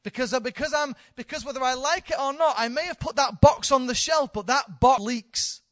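A woman's voice: high-pitched, brisk, no room sound, clean studio sound. A woman in her thirties, a church leader, giving an informal, candid sermon with self-deprecating humour. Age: 30s.

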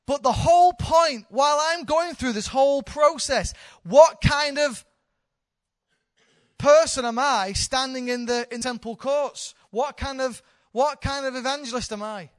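A woman's voice: high voice, measured pace, no room sound, studio quality. A woman in her thirties, a church leader, giving an informal, candid sermon with self-deprecating humour. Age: 30s.

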